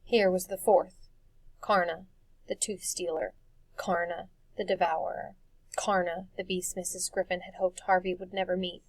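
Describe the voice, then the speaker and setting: A woman reading a dark fantasy story for children as expressive scripted narration. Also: on the low side, a little slow, no room sound. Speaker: audiobook narrator.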